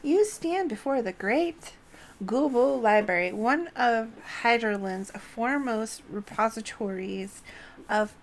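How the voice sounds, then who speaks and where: A woman reading fantasy game dialogue as a humble enchanted broom, in formal, archaic declamation. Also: mid-range voice. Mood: grand, mournful. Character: humble, courtly enchanted broom serving a lady.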